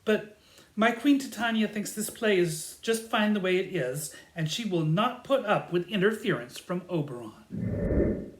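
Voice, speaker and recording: A woman's voice: medium pitch, animated, measured pace, echoey room, close to an everyday voice, low-quality recording. A woman playing an awkward, unprepared fairy servant, hesitant and flustered, in a comic audio drama. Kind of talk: theatrical comedy audio drama.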